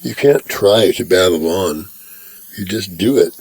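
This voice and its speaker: A giddy young humorist, dazzled and laughing at his own luck, as a man reads him in a story. The voice is low and deep. It is recorded dry and close. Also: measured pace, dry recording, poor audio. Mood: breezy. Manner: excited, laughing in disbelief. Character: young male American humorist, giddy and starstruck.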